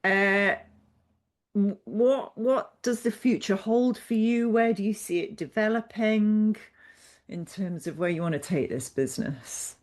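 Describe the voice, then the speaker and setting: A woman's voice: mid-range voice, unhurried. A woman from Manchester with an English accent, hosting a podcast in an encouraging chatty way and echoing her own phrases. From Manchester, England. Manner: warm, encouraging, repeats phrases. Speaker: podcast host. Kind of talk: casual interview chat.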